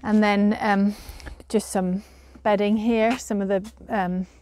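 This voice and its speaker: Woman, 30s, home gardener, casual tour; mellow, low-key voice, little pitch movement, hesitant, recorded outdoors. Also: fairly even intonation, takes it slowly, slight background noise. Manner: chatty, hesitant, with frequent fillers. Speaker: gardener showing her garden.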